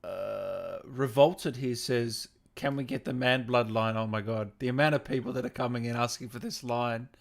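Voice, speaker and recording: A man's voice: medium pitch, moderate pace, gravelly, hardly any pauses. A man hosting a casual livestream interview, reading out viewer questions and reacting informally with exclamations.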